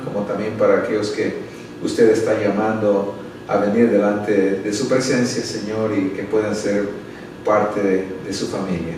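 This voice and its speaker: A man's voice: on the low side, darkish tone, a little hiss or hum. A middle-aged man from El Salvador, a pastor talking earnestly and unhurriedly, often adding tag questions. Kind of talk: interview answers and prayer. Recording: echoey room, a bit far from the mic.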